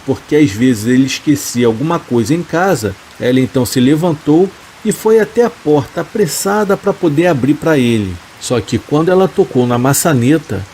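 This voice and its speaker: A man reading a first-person horror story aloud in a slow, calm, measured way, as a storytelling narrator. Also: fairly high pitch, a little hiss or hum. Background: rain sounds.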